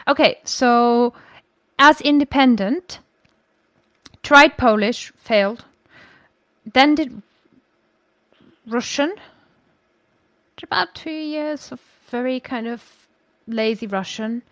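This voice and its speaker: A woman's voice: fairly high pitch, slow pace, long pauses, a bit bright, no room sound. A woman, chatting as a podcast guest and thinking aloud with frequent self-corrections. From Germany. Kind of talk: casual conversational storytelling.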